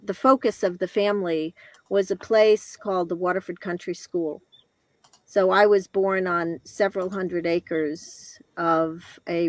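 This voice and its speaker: Older American female interview guest, halting personal storytelling, very leisurely pace, dry close sound. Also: on the low side, dry recording. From Connecticut, northeastern United States. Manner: reflective, halting, pausing between short phrases. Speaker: guest telling own life story.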